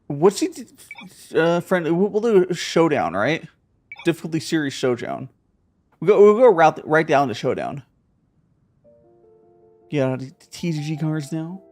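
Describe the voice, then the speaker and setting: Gravelly, rambling game streamer. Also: mid-range voice, lively intonation, unhurried, long pauses, darkish tone, faint music behind. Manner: thinking aloud with many fillers and repeats. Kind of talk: casual live gameplay commentary.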